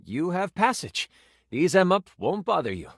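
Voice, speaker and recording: A man's voice: high-pitched, very animated, moderate pace, vocal fry, breathy edge, no room sound, clean studio sound, heavily acted. A man playing a playful game master, narrating a fantasy tabletop adventure in a theatrical, dramatic storytelling style. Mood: suspenseful. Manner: theatrical, second-person storytelling.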